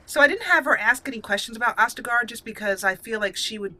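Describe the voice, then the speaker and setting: A woman's voice: on the high side, lively intonation, fast, sharp tone, rough recording. A woman hosting a roleplay let's play of a fantasy game, thinking aloud as she muses over her choices. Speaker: gaming channel host and player.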